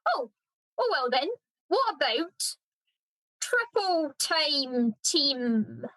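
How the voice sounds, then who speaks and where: A woman's voice: high voice, big pitch swings, extremely slow, fairly bright tone, no room sound, heavily acted, low-quality recording. A woman playing an eager, self-assured history presenter in a scripted radio comedy.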